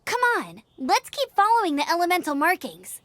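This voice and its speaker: A woman's voice: very high voice, sing-song, moderate pace, fairly bright tone, no room sound, heavily acted. A woman playing a spirited, confident young female knight scout in a video game, with a peppy, eager and bold delivery. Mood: determined.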